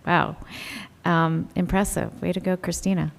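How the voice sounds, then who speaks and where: A woman council member reading a resolution and questioning a speaker, clear and engaged. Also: lowish voice, fairly even intonation, a little slow. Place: council meeting room. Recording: reverberant meeting room.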